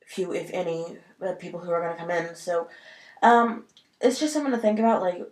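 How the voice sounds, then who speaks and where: A woman's voice: lowish voice, brisk. Sniffly woman rambling in a vlog. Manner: rambling, lots of fillers like "like" and "um". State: sniffly with a cold. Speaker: vlogger. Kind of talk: casual opinion vlog.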